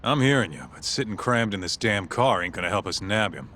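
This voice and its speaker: An actor playing a gruff, grumbling but loyal detective partner in a video game cutscene, talking in blunt, casual slang. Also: male voice, on the low side, animated, fairly quick, bright, forceful tone, slight background noise.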